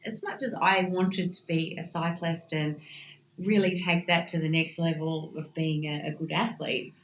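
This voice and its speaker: Woman host, lowish voice, chatty reflective interviewer, echoey room with faint background hum. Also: fairly even intonation, normal speed. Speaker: interviewer, cyclist. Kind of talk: casual sit-down chat.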